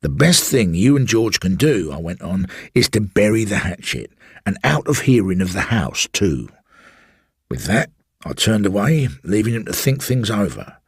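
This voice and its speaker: A man doing a garrulous, husky old porter. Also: on the low side, very animated, normal speed, no room sound, studio quality, exaggerated voice. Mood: confiding. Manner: confiding, rambling, keeps saying sir. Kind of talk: audiobook storytelling.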